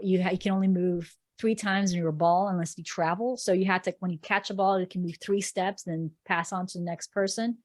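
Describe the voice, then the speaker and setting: A woman's voice: lowish voice, darkish tone, no room sound. A woman in her thirties whose first language is Portuguese, talking in a chatty, rambling way with frequent ums as a guest on a podcast interview. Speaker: sports reporter guest.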